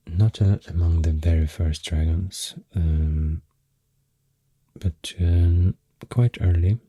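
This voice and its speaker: A man with a Swedish accent, rambling softly and hesitantly in soothing ASMR, breathy and often near a whisper, close to the mic. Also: sits low, dry recording. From Sweden. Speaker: ASMR creator. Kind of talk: soft-spoken ASMR rambling. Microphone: close microphone in a dry space.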